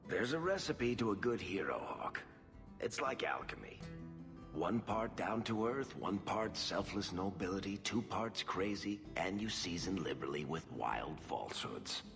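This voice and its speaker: Deep, roguish dwarf storyteller. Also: male voice, lively intonation, unhurried, gravelly, heavily acted. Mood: teasing. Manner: wry, theatrical storytelling delivery. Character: roguish male dwarf storyteller, cocky, playful, fond of exaggeration. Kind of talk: video game voice acting. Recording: reverberant voice with loud music behind.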